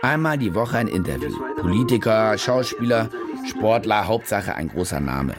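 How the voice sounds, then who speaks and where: An actor playing a cynical, self-satisfied male war reporter, telling his story in terse, wry radio-play narration. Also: lowish voice. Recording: studio radio play with music bed. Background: music.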